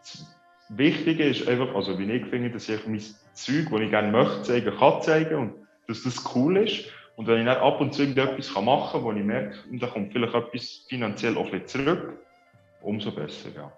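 Swiss german accent guy, thinking out loud, kinda hesitant, roomy dull recording. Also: medium pitch, a little fast. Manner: reflective, hesitant, thinking aloud. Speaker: guest. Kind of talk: casual interview chat. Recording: roomy, dull lo-fi, faint music.

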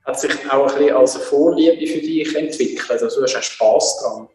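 A man's voice: mid-range voice, normal speed, darkish tone, distant mic. Curious male host. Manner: curious, probing questions. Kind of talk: casual interview chat. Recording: roomy, faint music.

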